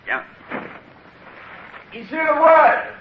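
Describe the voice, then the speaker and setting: A man's voice: extremely high pitch, slow, a little hiss or hum, full character voice. An actor playing an alarmed, protesting French seaman in a radio drama, agitated, with a French accent. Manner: agitated, animated. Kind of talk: old-time radio drama acting. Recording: echoey, dull old radio recording.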